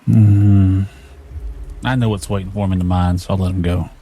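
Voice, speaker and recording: A man's voice: sits low, fairly even intonation, moderate pace, mellow, slightly rough. A man playing a tabletop game, talking casually through his moves.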